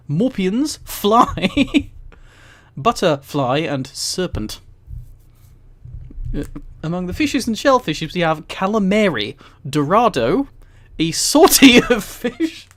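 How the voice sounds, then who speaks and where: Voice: medium pitch, sing-song, slow, fairly bright tone. A streamer reading aloud on a live stream with casual, amused commentary and incredulous, mildly sweary asides. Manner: amused, often laughing while reading. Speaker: streamer and host.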